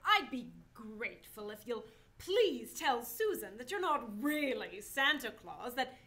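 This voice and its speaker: A woman's voice: fairly high pitch, very animated, unhurried, pushed and bright, exaggerated voice. A woman acting in a radio play as a prim, skeptical store executive who is easily flustered.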